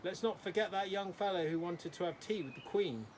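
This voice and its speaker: A kindly old hospital porter, read by a man in a children's storybook. He speaks courteously and loves a tale. The recording has clear background noise. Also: high voice, fairly quick, voice pushed up, close to an everyday voice. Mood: fond. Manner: courteous, addresses children formally.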